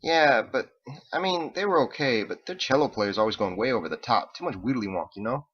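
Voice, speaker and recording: A man voicing a small, tough male music fan in a novel, with a gruff, offhand, slangy delivery. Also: medium pitch, animated, fairly quick, a bit bright, highs cut off, pitched above the performer's own voice.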